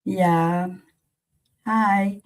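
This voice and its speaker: A woman from the Philippines with a Filipino accent hosts a casual, reflective livestream, talking haltingly and repeating her phrases. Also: mid-range voice, fairly even intonation. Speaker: livestream host.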